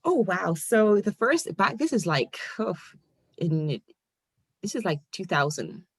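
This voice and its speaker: A low-pitched woman in her forties from Ghana, the guest on a video-call interview. She tells her story in an animated, storytelling way, with a dry, close sound. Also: a little slow, slightly rough, no room sound. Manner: animated storyteller, laughs often, acts out quoted voices. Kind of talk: casual interview conversation.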